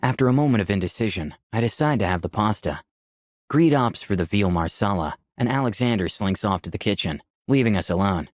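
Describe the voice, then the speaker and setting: Man as low-voiced young visual novel protagonist. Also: lively intonation, fairly quick, no room sound, studio quality, lightly acted. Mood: reflective. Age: young adult. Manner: clear scripted storytelling. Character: candid, easygoing 24-year-old man, protagonist and narrator. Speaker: narrator and protagonist. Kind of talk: first-person visual novel narration and dialogue.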